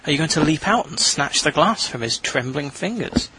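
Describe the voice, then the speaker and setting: A woman's voice: low-pitched, lively intonation, normal speed, pushed and bright, slightly rough, exaggerated voice, low-quality recording. Woman as a swooping, fussy little spirit companion. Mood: sarcastic nagging. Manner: prim, scolding, sarcastic. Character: small fussy animal-spirit companion, cautious, nagging. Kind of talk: audio drama acting.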